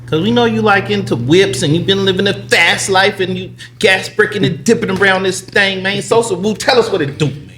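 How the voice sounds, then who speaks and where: A man's voice: sits high, brisk, hardly any pauses, sharp tone. A man co-hosting a podcast in a hyped, playful hype-man style. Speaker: podcast co-host. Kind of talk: casual podcast chat.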